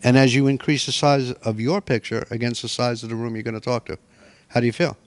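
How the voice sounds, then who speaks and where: A man's voice: mid-range voice, level tone, quick pace, no room sound. A middle-aged man presenting a workshop in a hotel meeting room, coaching people step by step.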